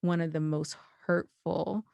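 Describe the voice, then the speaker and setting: A woman in a reflective podcast conversation, explaining her points deliberately in short phrases. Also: on the low side, steady tone, creaky, mellow, dry recording. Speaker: podcast guest.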